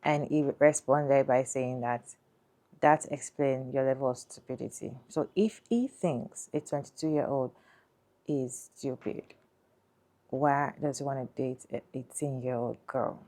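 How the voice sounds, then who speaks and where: A woman hosting a reaction commentary channel, talking deliberately and putting questions to her viewers. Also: rumbling low, steady tone, warm tone.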